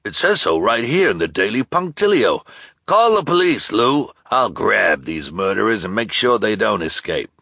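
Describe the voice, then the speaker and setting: A man voicing an excitable, gullible male shopkeeper in a storybook reading. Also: lowish voice, moderate pace, vocal fry, breathy edge, no echo, studio quality, exaggerated voice. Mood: excited. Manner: excited, crying out.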